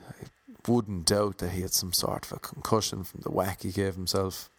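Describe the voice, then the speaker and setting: A man's voice: deep voice, little pitch movement, moderate pace, a bit bright, slightly rough, no echo. A young man with an Irish accent telling wry, self-mocking stories about his auditions in a casual monologue. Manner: wry, self-mocking, playfully imitating others.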